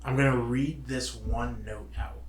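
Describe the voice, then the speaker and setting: A man co-hosting a casual movie review, opinionated and emphatic, halting with pauses as he talks and reads from notes. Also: medium pitch, fairly even intonation, echoey room, slight background noise. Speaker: show co-host.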